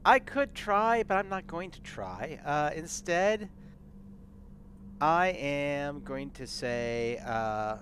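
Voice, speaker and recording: A man's voice: a little high, expressive, takes it slowly, fairly bright tone, slight background noise. A man talking casually as a player in a streamed tabletop role-playing game.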